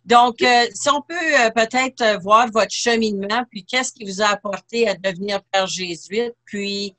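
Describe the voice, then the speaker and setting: A woman's voice: medium pitch, unhurried, fairly bright tone. Hesitant woman hosting an interview. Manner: hesitant, frequent "euh" fillers. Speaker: TV host.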